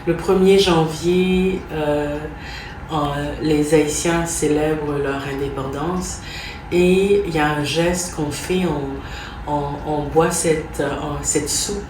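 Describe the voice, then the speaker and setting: A woman from Haiti, an executive director, giving measured interview answers. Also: deep voice, fairly even intonation, noisy background. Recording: roomy, distant mic, music behind.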